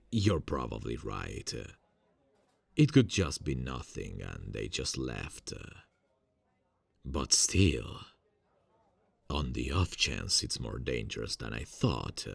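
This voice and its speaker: A young man playing a friendly male college student who is secretly a serial killer, speaking softly and hesitantly in an ASMR roleplay, with many fillers and quiet insinuations. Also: very deep voice, gravelly, breathy edge, dry recording, full character voice.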